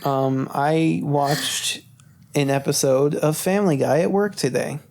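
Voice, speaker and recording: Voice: medium pitch, a little slow, vocal fry, darkish tone. A podcast co-host chatting casually about movies, blunt and emphatic, swearing freely.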